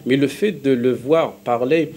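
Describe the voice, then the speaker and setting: A man with a Moroccan accent gives explanations as a guest expert in a studio interview. His pitch is middling, and he often stops, repeats and rewords his points as he goes. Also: a little mellow, slight background noise. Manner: halting, repeats and rephrases himself. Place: television studio.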